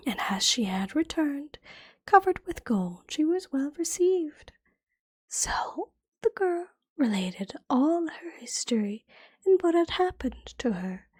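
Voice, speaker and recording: A woman reading a fairytale as ASMR in a soft, breathy whisper, gently and carefully, like a bedtime storybook. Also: sits high, dry recording.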